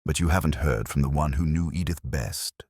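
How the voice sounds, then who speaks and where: A man's voice: rumbling low, moderate pace, darkish tone, no echo, studio quality. A man voicing a composed, grave woman who speaks steadily and solemnly in an audio detective story. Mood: solemn, steady. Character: composed, grave woman making a candid public statement.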